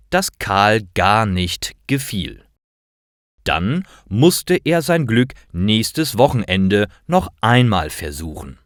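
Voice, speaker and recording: A man narrates simple learner stories at a very slow pace, phrase by careful phrase. His voice sits fairly low but moves with very lively intonation. The sound is dry and close. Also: no echo. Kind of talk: scripted narration, slow learner-friendly storytelling.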